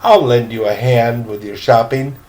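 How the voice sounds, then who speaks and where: A man's voice: mid-range voice, moderate pace, a little mellow, voice pushed down, lightly acted, low-quality recording. An older man voicing a frank, polite, well-off boy in a storybook, with matter-of-fact manners. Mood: amused, helpful.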